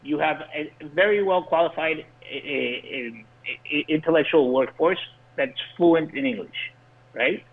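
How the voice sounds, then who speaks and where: A man's voice: fairly high pitch, a little slow. A man who grew up in Colombia, speaking as a guest industry insider, earnest and prone to restarting his words. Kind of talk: explaining in an interview. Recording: phone-line call-in.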